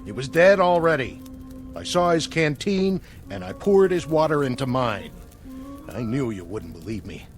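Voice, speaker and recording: A man's voice: medium pitch, big pitch swings, moderate pace, close to an everyday voice. Tired shifty astronaut male actor, defensive and stammery, voice jumps around a lot, old tv drama. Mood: defensive, pleading. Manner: sullen, stammering when pressed. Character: weary, evasive, defensive male astronaut crewman. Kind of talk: mid-century television drama acting. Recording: music under dialogue.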